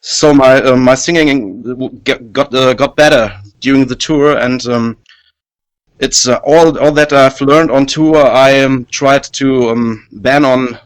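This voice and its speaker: A man's voice: mid-range voice, steady tone, low-quality recording. Take your time and stumble a little, a man searching for words about his band. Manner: hesitant, halting, many fillers. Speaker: band singer and guitarist. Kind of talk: casual interview.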